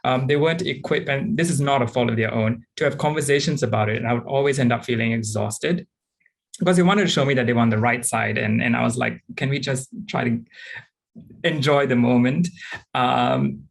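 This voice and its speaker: A man, frankly and thoughtfully sharing personal experiences as a panelist, often pausing with ums. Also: medium pitch, gravelly. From Singapore. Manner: reflective and frank, with frequent ums. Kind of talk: candid personal account on a panel.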